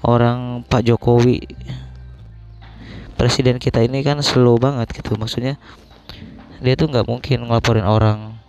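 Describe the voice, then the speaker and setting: A young man talks solo on a podcast in a low, slightly hoarse voice with a gravelly fry. He is informal and meandering, working out his thoughts as he speaks. The recording is dull and lo-fi. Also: a little mellow. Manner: informal, colloquial, rambling, thinking aloud. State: slightly hoarse after illness. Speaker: podcast host. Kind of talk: casual solo podcast talk.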